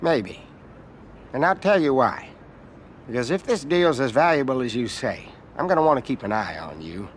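A man's voice: mid-range voice, very animated, normal speed, slight background noise, lightly acted. A man with an American accent playing an arrogant, sly middle-aged senator in a video game cutscene, blunt and condescending. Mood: scornful, blunt. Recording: game audio with background ambience.

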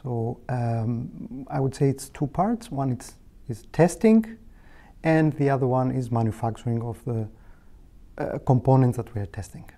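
A man in his thirties with a Greek accent, a native Greek speaker, explaining his job in a hesitant, measured interview style. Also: mid-range voice, dark tone. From Greece. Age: 30s. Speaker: technical head of a materials laboratory. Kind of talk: informal career interview monologue. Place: indoor meeting room. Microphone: lapel.

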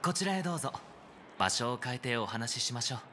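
A man's voice: medium pitch, expressive, fast talker, background noise, lightly acted. An actor playing a composed, courteous male hotel host in a Japanese video game, formal and very polite.

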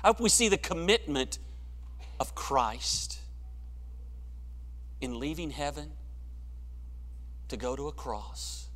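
A middle-aged man preaching a sermon in a church sanctuary, swelling to emphatic peaks and then dropping quiet and low. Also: big pitch swings, slow, pauses often. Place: church sanctuary, large room. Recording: long church reverb.

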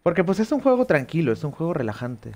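A man's voice: fairly high pitch, big pitch swings, fast talker, darkish tone. A young man with a Mexican accent chatting casually with viewers while playing, thinking aloud and swearing lightly, on a headset at home. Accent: Mexican Spanish. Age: young adult. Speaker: streamer. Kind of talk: casual gameplay chat with viewers. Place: small room at home.

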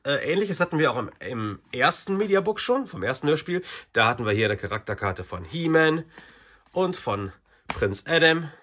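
Casting: male reviewer, forties, a little husky, informal and enthusiastic fan chatter. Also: mid-range voice, sharp tone. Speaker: video reviewer. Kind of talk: casual unboxing review to camera.

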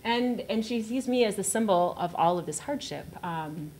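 Animated woman telling a story on a panel. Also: mid-range voice, moderate pace, slight background noise. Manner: animated, laughing, acts out the scene. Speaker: panel writer. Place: gallery event room with audience. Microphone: table mic.

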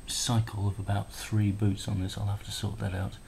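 Halting, gravelly low-voiced man. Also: level tone, darkish tone. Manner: hesitant, halting, thinking aloud. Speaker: presenter of a hardware prototype demo. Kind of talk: casual unscripted tech demo commentary. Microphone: handheld camera microphone. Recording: noisy handheld camera recording with clicks.

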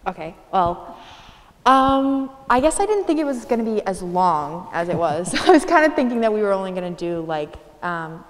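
A woman's voice: medium pitch, big pitch swings, moderate pace, long reverb tail. Young woman chatting hesitantly on a panel. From Massachusetts. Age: 20s. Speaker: student panelist. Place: studio stage. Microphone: handheld mic.

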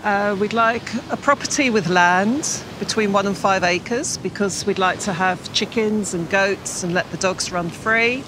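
A woman's voice: mid-range voice, measured pace, hardly any pauses, noisy background. Eager middle-aged woman, upbeat. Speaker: house-hunting buyer. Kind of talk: casual chat. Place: outdoors by a beach. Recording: music bed under speech.

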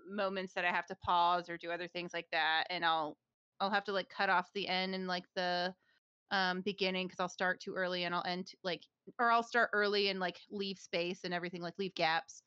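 A woman co-hosting a casual podcast chat, talking fast with lots of fillers and frequent laughs. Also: lowish voice, steady tone, bright, forceful tone, smooth, no room sound, highs cut off. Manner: chatty, laughs often, many fillers. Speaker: podcast co-host, streamer.

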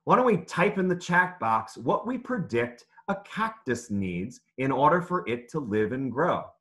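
Male read-aloud teacher for young kids: bright, expressive pitch, clear instructions, encouraging delivery. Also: a little slow. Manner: instructive, simple phrasing for young children, encouraging. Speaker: author and teacher reading aloud. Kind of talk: interactive children's read-aloud lesson over video call.

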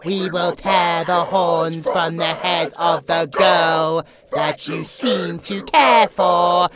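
A woman's voice: on the low side, fairly even intonation, heavy background noise. A woman playing a sadistic, playful demon monster in a horror audio drama, gloating and speaking as a collective. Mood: taunting. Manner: slow, gloating, speaks of itself as we. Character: sadistic, playful hive-mind demon monster hungry for blood.

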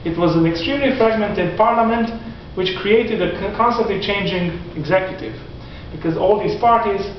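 A man in his forties giving a classroom lecture, animated and gesturing, often restarting his sentences. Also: high-pitched, moderate pace, hardly any pauses, slight background noise. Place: classroom in front of whiteboard. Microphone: far camera. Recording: echoey classroom from far off, dull lo-fi.

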